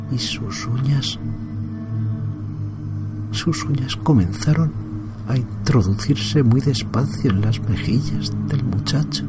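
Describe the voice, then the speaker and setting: A man narrating a first-person horror story in a suspenseful, drawn-out, often hushed way. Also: medium pitch. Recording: loud music under the voice. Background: loud music.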